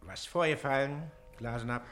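An actor playing an autocratic, pompous Prussian official with a clipped, imperious manner in a drama. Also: male voice, mid-range voice, moderate pace, a bit bright, close to an everyday voice.

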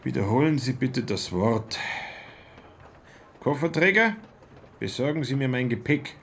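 Radio play: young travelling salesman, self-important, stilted, unhurried delivery with some fry, faint background ambience. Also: medium pitch, expressive, a bit bright, a little hiss or hum. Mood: studious, reciting. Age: young adult. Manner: stilted, pedantically correct speech.

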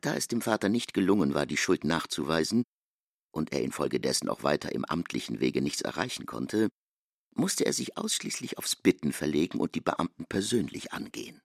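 An actor as a grave narrator, reading scripted literary narration in an audio drama. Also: male voice, rumbling low, very animated, fairly quick, vocal fry, no room sound, clean studio sound, heavily acted. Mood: grave, measured.

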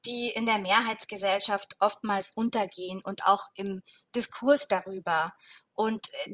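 Earnest woman with a medium-pitched voice. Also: a bit bright. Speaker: jury member. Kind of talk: considered interview commentary.

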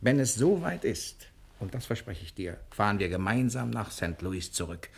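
An actor playing a confident, quick-witted fugitive husband in a 1960 German radio drama, soothing and persuasive in manner. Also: male voice, lowish voice, big pitch swings, vocal fry, slight background noise. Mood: reassuring.

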